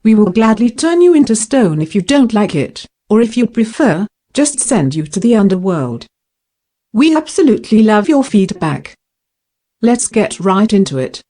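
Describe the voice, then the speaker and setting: Girl doing an evil snake monster queen, mocking n menacing, smooth dark tone, very animated, drama villain. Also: medium pitch, normal speed. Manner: sardonic, menacing. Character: haughty, sinister gorgon queen mocking her subjects. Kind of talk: scripted dramatic monologue.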